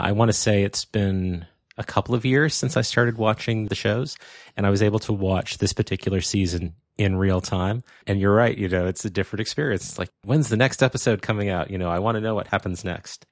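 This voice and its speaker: A man co-hosting a casual podcast, talking in a measured, agreeable way. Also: on the low side, dry recording, clean studio sound. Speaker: podcast co-host. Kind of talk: casual chat.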